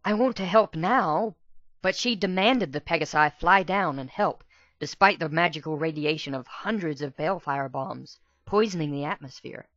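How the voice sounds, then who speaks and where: A young pegasus mare from a fantasy storybook, acted out by a man, speaks softly and earnestly in a raised pitch. She sounds hesitant, in a dry, slightly dull recording. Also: moderate pace, no echo. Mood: sad, earnest. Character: earnest, soft-spoken young female pegasus pony.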